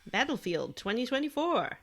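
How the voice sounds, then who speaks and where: A woman's voice: on the high side, sing-song, normal speed. A woman co-hosting a casual podcast, chatting and reading out gaming news. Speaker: podcast co-host.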